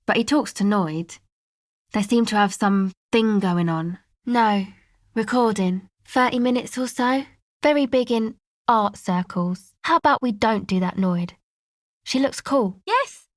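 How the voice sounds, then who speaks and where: A woman's voice: a little high, expressive, unhurried, a bit bright, no echo. A woman voicing a laid-back young woman from a street rave crew in a video game, talking casually in a dry, hesitant, slangy way. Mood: casual, slightly wary, then raised, urgent. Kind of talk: video game character dialogue.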